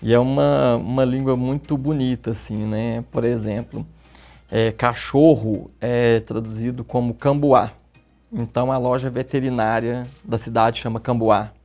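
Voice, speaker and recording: A man's voice: medium pitch, fairly even intonation, measured pace, faint music behind. A middle-aged man from Minas Gerais with a mineiro accent, a guest telling stories in a casual interview and often tagging his sentences with questions. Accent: Minas Gerais Portuguese. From Minas Gerais, Brazil. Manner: warm, storytelling, frequent tag questions.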